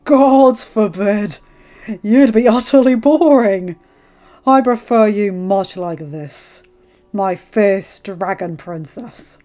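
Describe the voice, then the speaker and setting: A woman's voice: medium pitch, takes it slowly, warm tone, close to an everyday voice. A woman voicing an affectionate, principled young lord in a story, teasing and earnest. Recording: muffled lo-fi recording, music behind.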